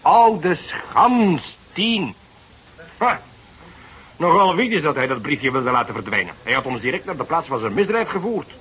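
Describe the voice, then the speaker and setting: An actor playing an eager, impulsive young police detective in a radio drama, speaking emphatically. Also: male voice, on the high side, very animated, unhurried, fairly bright tone, husky, full character voice. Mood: triumphant, excited. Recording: noisy radio drama recording.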